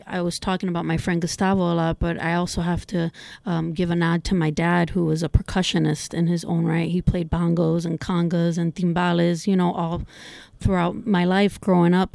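A woman in her thirties whose first language is Spanish speaks earnestly as an interview guest, pausing on ums and repeating words. Her voice sits a touch low with a gravelly fry. The sound is dry and close. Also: flat delivery, darkish tone, no echo. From United States, Cuban family. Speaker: guest musician and music teacher. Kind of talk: casual interview conversation.

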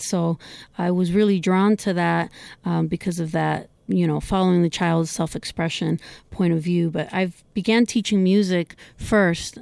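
A woman's voice: on the low side, vocal fry, a little mellow, dry recording. A woman in her thirties, a native Spanish speaker, talking earnestly as a guest musician and teacher in a casual interview, with frequent ums and repeated words. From United States, Cuban family.

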